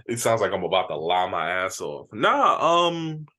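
A man's voice: deep voice, big pitch swings, unhurried, bright, forceful tone. A man in his thirties co-hosting a podcast, chatting casually, laid-back and self-mocking, laughing a lot. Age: 30s. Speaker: podcast co-host. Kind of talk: casual chat.

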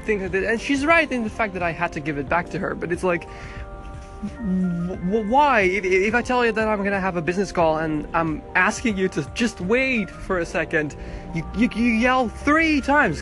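A man's voice: high voice, fairly bright tone. A young man recording a casual audio diary on his phone while he waits outdoors at a bus stop, chatty and rambling with lots of restarts. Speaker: podcast host.

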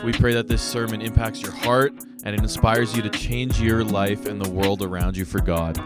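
A man's voice: fairly low pitch, flat delivery, normal speed, loud music behind the voice. A man giving a friendly scripted welcome to a church's weekly sermon recording. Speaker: church sermon podcast host. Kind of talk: scripted introduction.

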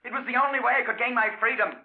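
An actor playing an unhinged, coldly polite murderous husband and obsessive suitor in an old-time radio thriller, halting and full of menace. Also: male voice, very high voice, steady tone, husky, heavily acted. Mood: bitter, obsessive. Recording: tinny, noisy vintage radio recording.

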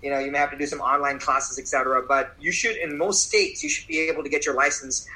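Forceful, emphatic man giving advice. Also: medium pitch, fairly even intonation, quick pace, rough recording. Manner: emphatic, persuasive, repeats for effect. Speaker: guest, company CEO. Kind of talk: interview answers.

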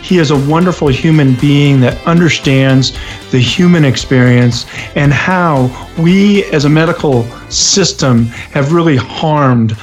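A man hosting an interview podcast, introducing and questioning in an earnest, measured way. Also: on the high side, faint music behind. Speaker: podcast host.

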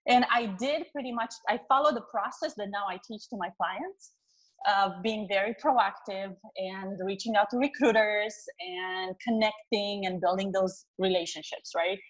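A woman from Romania with a Romanian accent, a career coach chatting as an interview guest in a quick, upbeat way. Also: medium pitch, lo-fi sound. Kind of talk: interview guest, casual chat.

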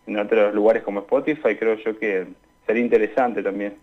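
A young Argentine man answering questions in a conversational radio interview, speaking in a hesitant, halting way. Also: on the low side, fairly even intonation. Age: young adult. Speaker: interview guest, student radio drama maker. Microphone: remote call into a radio show. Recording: dull, lo-fi call-in sound.

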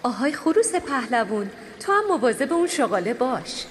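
Woman as a kind, lively guiding fairy. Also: on the high side, a little hiss or hum. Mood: gentle, guiding. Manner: measured, instructive, nurturing. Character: kind, guiding fairy angel, gentle and encouraging. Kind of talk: children's radio play acting.

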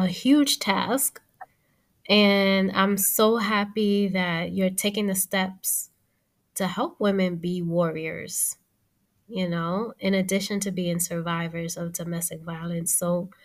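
A woman hosting a faith-based podcast, upbeat and affirming in casual chat. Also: on the low side. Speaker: podcast host.

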